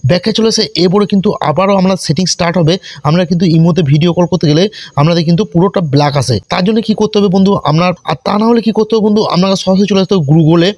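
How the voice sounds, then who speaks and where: Man, tutorial host, very rapid delivery, slightly high pitch, repetitive, addresses viewers directly. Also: darkish tone. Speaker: host of a phone tutorial channel. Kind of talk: step-by-step tech tutorial narration.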